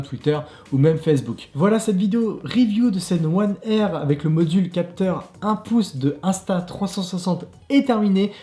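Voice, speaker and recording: A man's voice: high voice, dark tone, roomy sound. A man with a French accent hosting a casual, upbeat tech review vlog and chatting straight to his viewers. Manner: chatty, upbeat, laughs at himself. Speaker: tech reviewer, channel host. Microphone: clip-on mic.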